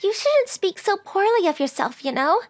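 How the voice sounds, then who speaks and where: Woman voicing very high, sweet lovestruck schoolgirl. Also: very animated, normal speed, no echo, soft background music. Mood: affectionate. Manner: affectionate, earnest. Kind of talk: anime-style manga dub acting.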